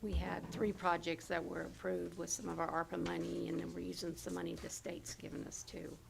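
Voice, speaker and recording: Lady, hoarse and super gravelly, reading out budget numbers with lots of ums, bit of hiss. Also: fairly low pitch, little pitch movement, brisk. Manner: explains figures with fillers and self-corrections. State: hoarse, losing her voice. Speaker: county health department official presenting budget. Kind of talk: budget committee presentation. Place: county government meeting room.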